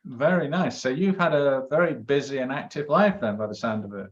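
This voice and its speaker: A friendly man interviewing a new network member, working toward each question through fillers and small restarts, with an easy laugh. His voice sits at an ordinary middle pitch, and the video-call sound is a little dull and lo-fi. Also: a little fast. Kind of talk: interview conversation.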